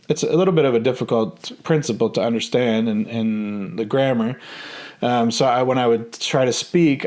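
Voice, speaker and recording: A man in his thirties telling a personal story in a relaxed, hesitant way, full of ums and restarts. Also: mid-range voice. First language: English. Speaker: vlogger.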